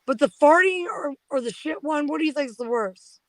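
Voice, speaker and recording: A woman chatting crudely and excitably on a casual panel. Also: sits high, brisk, dry recording, poor audio.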